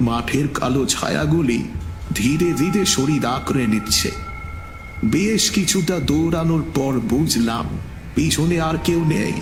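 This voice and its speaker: A man telling a scripted ghost story in the first person, in a suspenseful, dramatic storytelling manner. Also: mid-range voice.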